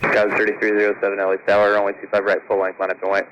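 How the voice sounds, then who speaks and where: A man's voice: lowish voice, completely flat delivery, rapid-fire, a bit bright, lo-fi sound. A man working as an air traffic controller, giving clipped, procedural instructions to aircraft over a radio. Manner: procedural, matter-of-fact. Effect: aviation radio. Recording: noisy, band-limited air traffic radio.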